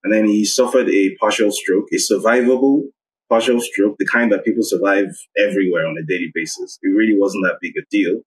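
A man, a guest recounting a personal story in a measured, reflective way. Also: lowish voice, steady tone, slight background noise, low-quality recording. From Nigeria. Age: 30s. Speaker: guest, investigative journalist. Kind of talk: personal account in interview.

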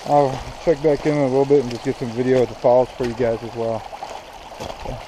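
A man's voice: medium pitch, fairly quick. A man in his thirties casually talking to viewers while hiking a rocky canyon creek bed, filming himself with a handheld action camera. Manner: relaxed, chatty, addresses viewers directly. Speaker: outdoor vlogger. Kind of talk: casual walking commentary to camera. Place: outdoors in a rocky mountain creek bed by flowing springs. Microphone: action camera held at arm's length. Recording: outdoor camera audio with clear stream and wind noise. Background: running water and wind.

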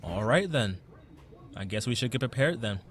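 Casting: conciliatory young man male actor, earnest and cautious, medium pitch, lively intonation, podcast drama. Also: moderate pace, natural voice. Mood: concerned. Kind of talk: podcast audio drama acting.

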